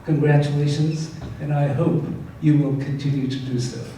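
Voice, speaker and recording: A man's voice: fairly high pitch, steady tone, normal speed, dark tone. A man giving public remarks as a guest speaker at an event in a large hall. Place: large concert hall stage. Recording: echoey hall, distant pickup, faint noise.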